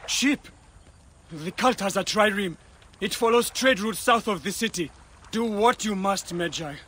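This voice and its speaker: A high-voiced, troubled priest. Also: male voice, measured pace, bright, forceful tone, breathy edge, exaggerated voice. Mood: grieved, pious. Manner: solemn, pleading. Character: gentle, pious, troubled Egyptian temple priest.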